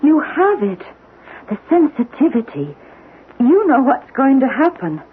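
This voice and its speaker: A woman's voice: high voice, very animated, measured pace. An actress playing an intense, fatalistic woman scientist in a 1970s radio drama, urgent and foreboding. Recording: narrow-band, hissy 1970s radio recording.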